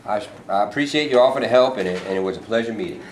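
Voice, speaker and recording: A man playing a cautious, pragmatic union president in a staged reading, with halting delivery. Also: fairly low pitch, lightly acted. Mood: measured, wary. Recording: echoey room, faint noise.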